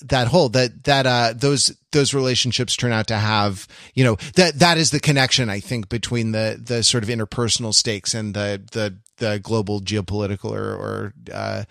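A man hosting a podcast, talking casually and analytically, halting and digressive as he thinks aloud. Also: fairly low pitch, fairly even intonation, gravelly, fairly bright tone, no room sound, studio quality. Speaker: podcast host. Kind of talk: casual analytical discussion of a TV show.

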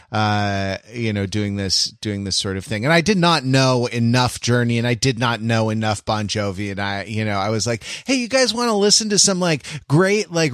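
A man's voice: medium pitch, fairly bright tone, dry recording, clean studio sound. A man co-hosting a podcast, chatting casually and riffing with mock indignation about pop culture. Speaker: podcast co-host.

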